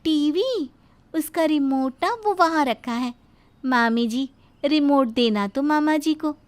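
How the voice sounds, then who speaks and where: Woman playing a cheeky, high-pitched lazy young daughter-in-law. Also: slow pace. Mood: mock-polite. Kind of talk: scripted Hindi moral story acting.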